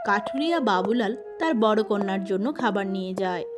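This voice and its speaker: A woman's voice: medium pitch, animated, moderate pace, music in the background. A woman storyteller reading scripted narration for a cartoon moral story.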